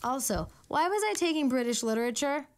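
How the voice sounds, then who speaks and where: A woman's voice: high-pitched, animated, fairly quick, slight background noise. A woman whose first language is Spanish, chatting casually on her own show in a rambling, filler-filled way. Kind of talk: casual rambling chat, reading old letters aloud.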